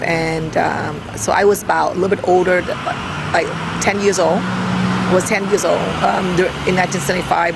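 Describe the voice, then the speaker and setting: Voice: medium pitch, gravelly, very noisy. A middle-aged interviewee from Vietnam who rambles and thinks aloud while recounting family history.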